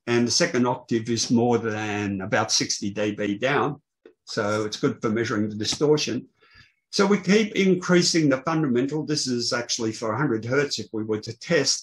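An older man presenting technical material on a video call, explaining in a halting way. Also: mid-range voice, rough recording. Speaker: presenter, loudspeaker engineer. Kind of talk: technical presentation to an online meeting. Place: small indoor room, online video call. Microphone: video call microphone.

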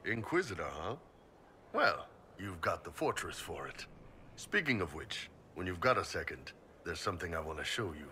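An actor playing a big, blunt, easygoing mercenary captain in a video game, with a husky, informal delivery. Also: male voice, low-pitched, sing-song, normal speed, bright, forceful tone, a little hiss or hum, full character voice. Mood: inviting, casual. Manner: blunt, laid-back, informal. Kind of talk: video game drama acting.

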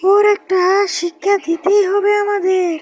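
A woman's voice: very high voice, flat delivery, normal speed, darkish tone, muffled recording. A woman voicing a small, plucky parrot in a children's cartoon, eager and exclamatory. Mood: indignant. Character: small high-voiced parrot, plucky avenger of its forest.

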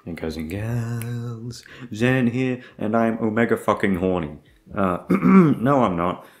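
Low, creaky-voiced rambling young man. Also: expressive, takes it slowly. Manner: rambling, hesitant, sweary. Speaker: audio roleplay creator. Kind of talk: casual unscripted chat.

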